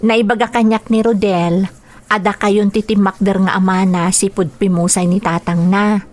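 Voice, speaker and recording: A woman's voice: medium pitch, fairly even intonation, normal speed, darkish tone, natural voice. An actress playing a nephew's young girlfriend in a radio drama, courteous and accommodating. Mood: polite, welcoming.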